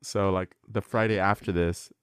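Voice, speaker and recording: A man's voice: sits low, steady tone, normal speed, smooth, no echo, clean studio sound. A man of nineteen, co-hosting a podcast, talking in a casual, rambling and earnest way with many fillers. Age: late teens. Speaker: podcast co-host.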